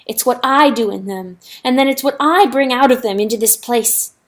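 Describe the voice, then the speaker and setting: A woman's voice: mid-range voice, moderate pace, lightly acted. A woman voicing a proud, guilt-ridden male rooster lord in an audiobook fable, passionate, defiant and vehement in manner. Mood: stubborn resolve. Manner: vehement, shrieking when roused.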